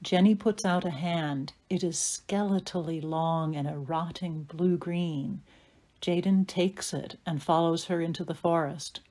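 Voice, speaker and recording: Woman narrator reading stage directions, kinda low voice, atmospheric audio drama storytelling. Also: steady tone, a little slow, dark tone.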